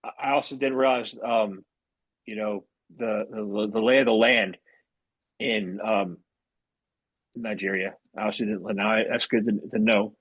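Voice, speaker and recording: Male host, upbeat, husky, repeats himself a little, dry sound. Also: on the low side, fairly even intonation, a little slow, a bit bright, no echo, poor audio. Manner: upbeat, repeats words when unscripted. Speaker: podcast host. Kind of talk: podcast interview hosting.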